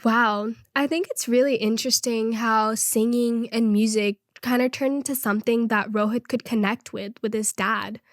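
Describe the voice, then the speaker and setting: A woman's voice: a little high, fairly even intonation, moderate pace, hardly any pauses, dry recording, studio quality. A teenage girl co-hosting a student podcast, talking earnestly and reflectively in a casual way. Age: teens. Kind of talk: casual conversational podcast chat.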